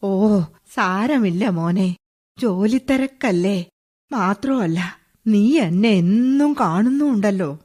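An actress playing an old bedridden mother in a radio play, speaking slowly in a husky, resigned voice. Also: medium pitch, animated, darkish tone. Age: older. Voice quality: husky, slow and heavy. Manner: resigned, tearful at times. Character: old bedridden widowed mother, weary and bitter. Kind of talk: radio drama acting.

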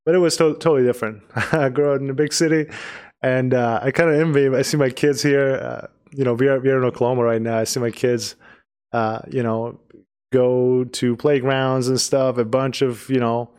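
A man's voice: mid-range voice, steady tone, a little mellow, smooth. Earnest Iranian-born man, podcast host. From Iran. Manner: earnest, pauses and restarts often. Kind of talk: casual podcast conversation.

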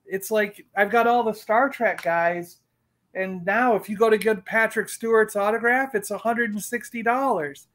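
A man's voice: sits high, moderate pace, a bit bright. A middle-aged man in casual chat, talking in a rambling, emphatic way and repeating himself. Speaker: collector and panelist on a fan livestream.

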